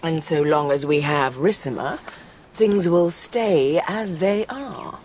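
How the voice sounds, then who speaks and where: A woman acting a cool, ambitious, scheming Roman mother in a radio drama, deliberate and insinuating. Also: low voice, darkish tone, a little hiss or hum.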